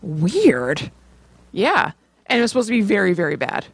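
चुलबुली महिला, उतार-चढ़ाव भरी आवाज़, बिना गूंज. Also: female voice, medium pitch, sing-song, moderate pace, vocal fry, slightly rough, dry recording. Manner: informal, playful, joins in on wordplay. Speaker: podcast co-host. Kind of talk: casual comedic podcast chat.

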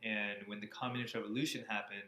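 A man's voice: fairly low pitch, level tone, quick pace, bright, forceful tone. An American man in his thirties, answering interview questions in a casual way. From Florida, USA. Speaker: interview guest, cardiology fellow. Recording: echoey room, faint background noise.

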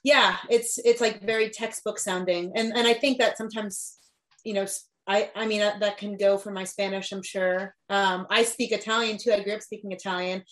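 A woman's voice: medium pitch, fairly even intonation, moderate pace. Reflective woman in casual talk. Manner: reflective, loose, with frequent fillers. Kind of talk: casual discussion of language learning.

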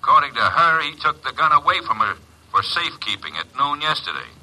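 Hard-nosed radio inspector, raspy, really bright forceful voice, oldtime recording. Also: male voice, on the high side, sing-song, quick pace, full character voice, rough recording. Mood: blunt, businesslike. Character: blunt, forceful male police inspector. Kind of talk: 1950s radio drama acting. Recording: old radio drama recording.

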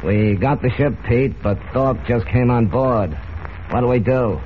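A man's voice: mid-range voice, measured pace, close to an everyday voice. An actor playing a jittery, crooked male radio operator henchman with slangy talk in an old radio drama. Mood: uneasy. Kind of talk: 1940s radio drama acting. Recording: dull, noisy old radio recording.

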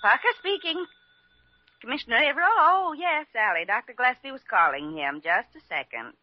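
A woman acting in a classic radio drama as a brisk, excitable, put-upon hospital assistant. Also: on the high side, close to an everyday voice. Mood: businesslike. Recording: muffled, noisy old radio recording.

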